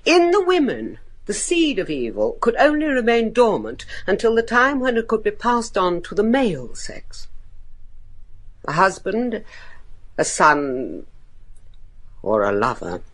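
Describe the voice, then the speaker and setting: A woman's voice: medium pitch, sing-song, measured pace. An actress playing a grave older grandmother of a cursed family in a gothic radio drama, solemn and impassioned. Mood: ominous.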